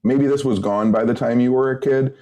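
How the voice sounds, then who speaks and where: A man in his fifties co-hosting a podcast, drifting through tangents in relaxed, hesitant speech. He fills his sentences with "like" and keeps a middling pitch. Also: brisk. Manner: rambling, nostalgic and hesitant, lots of "like". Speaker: podcast co-host. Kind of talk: casual banter.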